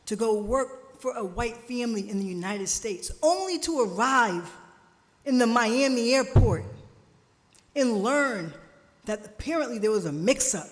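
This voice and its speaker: A woman preaching a sermon at a church service, slow and emphatic, drawing responses from the congregation, her family from Jamaica. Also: a little high. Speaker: guest speaker at a church service. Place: church sanctuary. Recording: church hall reverb.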